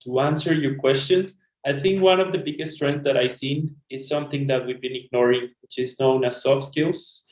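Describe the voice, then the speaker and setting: A man's voice: mid-range voice, fairly even intonation, moderate pace, a little mellow. Man in an echoey room. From Colombia. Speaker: panelist, school founder. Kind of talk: online panel remarks.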